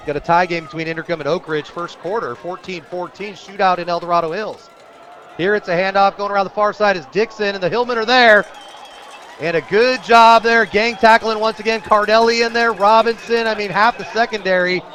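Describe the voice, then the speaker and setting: Man, American accent, high-pitched, live football play-by-play, excitable, partisan radio announcer. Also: fairly quick. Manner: excitable on big plays, partisan for the home team.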